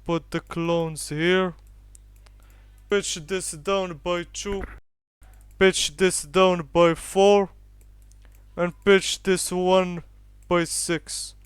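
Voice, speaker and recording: A young man talks through a tutorial in a flat, unhurried, matter-of-fact voice. His voice is slightly high for a man and very clean. The recording is close and dry. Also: clean tone, dry recording. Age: young adult. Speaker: tutorial youtuber.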